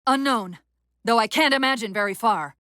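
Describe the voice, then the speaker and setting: A woman playing a female military AI companion in a video game, giving crisp tactical commands with dry, sardonic wit. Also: a little high, normal speed, pushed and bright, no echo. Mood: focused, brisk.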